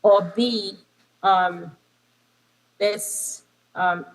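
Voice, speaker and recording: A woman's voice: medium pitch, fairly even intonation, extremely slow, poor audio. A woman from Trinidad with a Trinidadian accent, giving an interactive conference talk as a lecturer over a video call, chatty with frequent ums and self-corrections. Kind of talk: interactive remote presentation.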